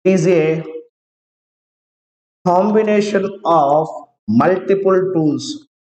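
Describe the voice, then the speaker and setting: A man teaching an online class, talking slowly, repeating each phrase and checking that students follow. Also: high voice, a little mellow. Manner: slow, repeats each phrase several times; asks students to confirm they follow. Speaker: IT trainer. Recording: roomy, dull lo-fi online class audio.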